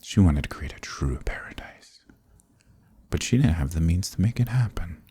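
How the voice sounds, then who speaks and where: A man playing an ancient, smug, dominant archdemon in a dark fantasy ASMR roleplay, speaking slowly and deliberately with a condescending, commanding manner. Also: lively intonation, no echo, exaggerated voice. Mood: smug, weary. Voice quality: very deep, gravelly, husky, breathy.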